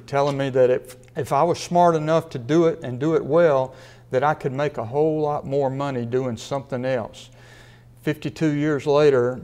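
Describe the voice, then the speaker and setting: A man in his sixties from Mississippi, a sports writer delivering a prepared acceptance speech in a measured way with a dry wit. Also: medium pitch, a little mellow. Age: 60s. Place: studio with a sponsor backdrop.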